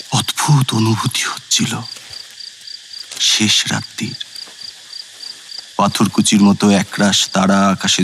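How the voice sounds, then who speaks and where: A man's voice: on the low side, noisy background. A male actor playing a former judicial officer who narrates his night journey as a scripted, literary first-person audio story.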